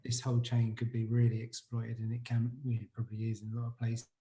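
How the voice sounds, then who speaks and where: A man's voice: mid-range voice, monotone, quick pace, dark tone, roomy sound. A man hosting a casual podcast as a coffee roaster, talking enthusiastically and rambling a little with fillers.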